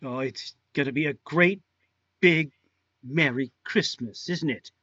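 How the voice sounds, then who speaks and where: An actor playing a violent jealous husband in a 1950s-style radio crime drama, menacing and brutal. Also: male voice, on the high side, measured pace, bright, forceful tone, no room sound, highs cut off. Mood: tense, brooding.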